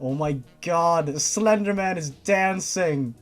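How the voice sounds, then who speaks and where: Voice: mid-range voice, slow pace, soft background music. A host reading fan fiction aloud and breaking in with sarcastic, exasperated, sweary commentary. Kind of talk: story reading with mocking commentary.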